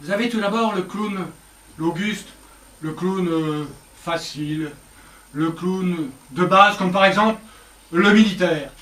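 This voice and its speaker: A man's voice: sits high, a little slow, fairly bright tone. A man performing as a naive, grandiloquent stage clown in a live comedy act, declaiming theatrically. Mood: jokey. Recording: roomy theatre, from far off, with music.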